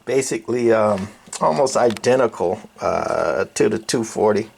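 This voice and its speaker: A man's voice: fairly low pitch, animated, measured pace, gravelly, a little mellow, slightly rough. An older man giving a casual, unscripted walkthrough as a radio hobbyist, talking in a rambling, colloquial, folksy way. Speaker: hobbyist radio repairer and video presenter.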